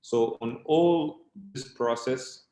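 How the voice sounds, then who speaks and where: A man whose first language is Portuguese, giving a keynote talk in English with a plain, somewhat halting delivery. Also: medium pitch, mellow, reverberant, poor audio. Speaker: keynote speaker. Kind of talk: conference talk.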